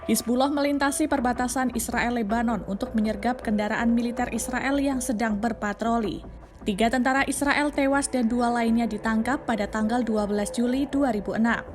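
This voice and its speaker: A woman with an Indonesian accent reads a scripted news explainer in a formal, measured manner. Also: on the high side. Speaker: news narrator. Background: background music.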